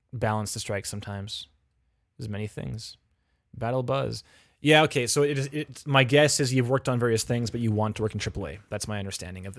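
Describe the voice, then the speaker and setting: A man's voice: medium pitch, no echo, clean studio sound. A man hosting a livestream, chatting casually and giving frank feedback on game audio reels. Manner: chatty, frank, full of restarts and fillers.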